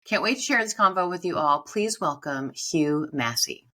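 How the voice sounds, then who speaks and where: A woman's voice: low-pitched, animated, normal speed, sharp tone. Upbeat woman hosting a podcast. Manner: upbeat and enthusiastic. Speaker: podcast host. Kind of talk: scripted intro then interview chat.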